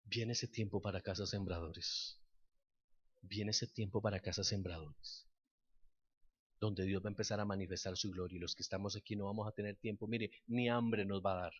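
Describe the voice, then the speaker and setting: A man preaching a fervent sermon that turns into a declared prayer, repeating words as he builds to loud peaks. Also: on the low side, fairly even intonation, moderate pace, husky, highs cut off. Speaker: pastor.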